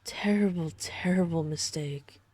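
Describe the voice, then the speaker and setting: A woman acting as a tormented female vampire hunter in an intimate roleplay drama. Her voice is lowish and mellow, and she speaks very slowly, with halting, dramatic pauses. She sounds burdened by guilt and a growing darkness. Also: no echo. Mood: anguished, menacing. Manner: slow, halting, dramatic pauses, breathy sighs.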